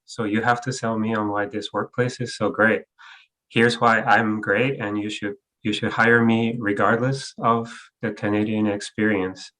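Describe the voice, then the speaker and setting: A man's voice: lowish voice, a bit bright, rough recording. A European man with a hard-to-place mixed English accent, speaking candidly as a guest on an online panel. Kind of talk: casual panel discussion. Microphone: video call.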